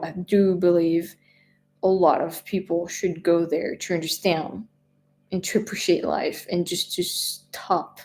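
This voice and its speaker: Woman, 20s, French accent, slightly low voice, easygoing live-stream chatter who rambles. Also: darkish tone. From France. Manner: chatty, rambling, lots of fillers and restarts. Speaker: travel vlogger answering live chat. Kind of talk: casual live-stream chat.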